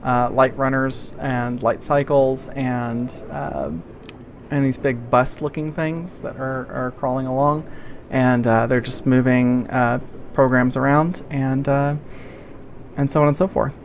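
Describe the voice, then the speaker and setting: A woman game master narrating an improvised tabletop game in a casual, halting way, pausing mid-sentence. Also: a bass voice, fairly even intonation, a little hiss or hum.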